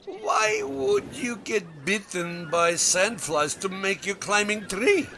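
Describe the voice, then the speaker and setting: A proud, literal-minded Russian spaceman played by a man in a comedy audio drama. His voice is high and swings up and down, with a sharp edge. He drops his articles and speaks in a Russian accent. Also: big pitch swings, takes it slowly, vocal fry, a little hiss or hum, exaggerated voice. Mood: puzzled. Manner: broken English with dropped articles.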